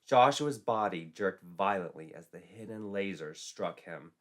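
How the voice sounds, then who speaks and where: Guy reading a spooky folk story, lowish voice, dramatic narration. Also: animated, a little slow. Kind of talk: dramatic scripted narration of folklore tale.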